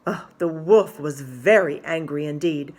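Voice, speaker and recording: A woman reading a children's story aloud as an expressive narrator. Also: low-pitched, a little slow.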